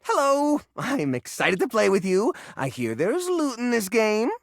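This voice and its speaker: Creaky, scatterbrained, eager helper. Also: female voice, on the high side, very animated, measured pace, no echo, studio quality. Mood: eager, flustered. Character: scatterbrained, nervous, eager-to-help keeper of odds and ends. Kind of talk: video game character acting.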